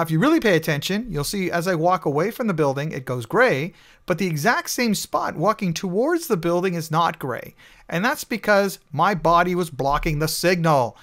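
A man with a fairly high voice narrates over slides. He explains in a relaxed, conversational way, peppering his talk with "you know". It sounds like a recorded voice-over. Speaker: network engineer reviewing a tool. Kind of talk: screen-recorded slide walkthrough.

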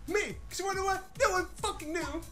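A man's voice: extremely high pitch, quick pace. A man voicing a boastful, trash-talking store boss in a comic anime parody dub, full of profanity and slang. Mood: furious. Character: boastful, foul-mouthed male game-store boss brawler. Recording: music behind the voice.

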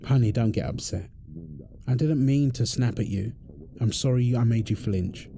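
A man's voice: mid-range voice, moderate pace, gravelly, noisy background, natural voice. A man playing a tired, irritable firefighter husband in an intimate roleplay audio drama. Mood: soft, weary. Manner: weary sighs, snappy, then apologetic.

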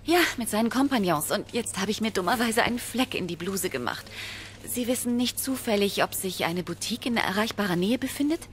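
An actress playing a flustered, hurried young secretary in a German audio drama, speaking politely. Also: female voice, medium pitch, animated, a little hiss or hum, lightly acted. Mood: hurried, friendly.

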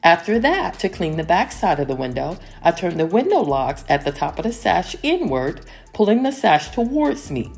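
A middle-aged woman giving a calm, step-by-step voice-over of her home cleaning routine. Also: fairly low pitch, moderate pace, gravelly, hardly any pauses. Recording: voice-over with soft music behind.

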